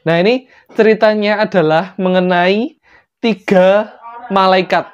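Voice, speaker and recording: Young storyteller, Javanese-Indonesian accent, medium pitch, casual and jokey, chatty asides, lo-fi muffled sound. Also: steady tone, measured pace, clear, resonant tone. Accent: Javanese-influenced Indonesian. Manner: playful, joking, chatty asides. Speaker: storyteller, channel host.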